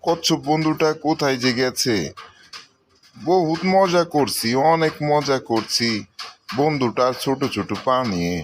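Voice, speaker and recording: A man's voice: mid-range voice, unhurried, lightly acted. A man acts out a playful hare from a cartoon fable, lazy and sure of itself. The voice sits in a middle register with a Bangladeshi accent and colloquial, repetitive phrasing. Faint noise runs behind it. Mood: musing, cheerful. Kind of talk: amateur cartoon story voice acting.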